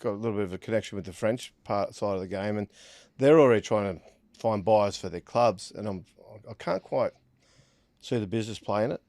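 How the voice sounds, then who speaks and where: A man's voice: fairly low pitch, brisk, darkish tone, dry recording. A man with an Australian accent giving blunt, colloquial opinions in a casual sports discussion. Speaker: rugby league podcast panelist.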